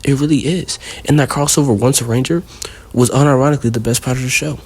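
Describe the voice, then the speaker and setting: A man's voice: mid-range voice, steady tone, fast talker, breathy edge. A man hosting a casual countdown video, rambling and leaning heavily on fillers. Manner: rambling, heavy on fillers like "you know". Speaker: video host.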